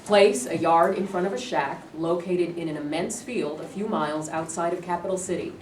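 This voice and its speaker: A woman's voice: lowish voice, normal speed. A woman reading stage directions as scripted narration. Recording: echoey room, distant mic, clear noise.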